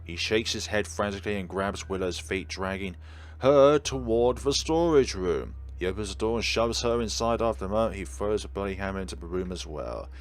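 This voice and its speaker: Low-voiced wry man playing a game. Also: animated, fairly quick. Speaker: let's player. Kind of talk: reading a visual novel aloud with casual commentary. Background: game music under the voice.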